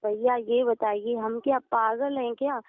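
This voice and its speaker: Woman, higher-pitched, aggrieved and deferential, pleading her case in conversation over a narrow phone line. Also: fairly even intonation, measured pace, no echo. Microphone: telephone. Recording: narrow tinny phone-call recording.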